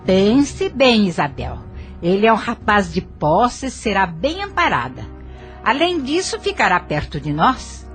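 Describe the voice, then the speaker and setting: A Brazilian woman playing a middle-aged aunt in an audio novel, placating yet firm, with a measured, coaxing delivery. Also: mid-range voice, sing-song, faint music behind.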